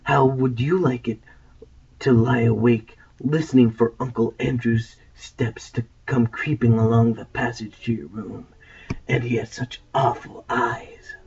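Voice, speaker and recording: A woman's voice: fairly even intonation, a little slow, dark tone, highs cut off, slight background noise. A woman reading aloud voices a grubby, miserable young boy who talks in a sulky, wound-up way. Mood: hushed, spooky. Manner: sulky and wound up, voice drops low when spooked.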